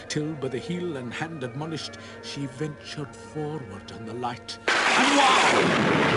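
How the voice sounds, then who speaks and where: A man giving a dramatic, theatrical recitation of a narrative poem, swinging between hushed and roaring delivery. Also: on the high side. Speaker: poetry reciter.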